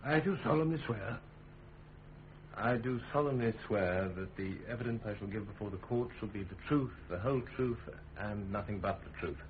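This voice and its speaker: An actor playing a nervous, evasive witness giving hesitant, subdued testimony in a radio drama. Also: male voice, on the low side, moderate pace, narrow, tinny audio, a little hiss or hum, close to an everyday voice. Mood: solemn, subdued. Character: uneasy, evasive male witness, ambitious perjurer.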